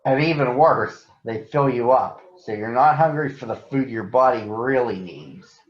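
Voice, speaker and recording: A man voicing a patient female grizzly bear doctor from a storybook, explaining things in a deliberate, encouraging way. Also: medium pitch, expressive, close to an everyday voice. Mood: firm, encouraging. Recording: echoey room, slightly dull sound.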